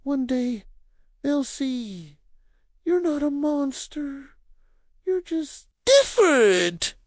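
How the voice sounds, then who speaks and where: Woman doing a little girl, brave, kind, high-pitched, earnest, very lively intonation. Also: extremely slow, dry recording, clean studio sound, heavily acted. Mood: soothing. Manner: high, earnest, gentle to pleading.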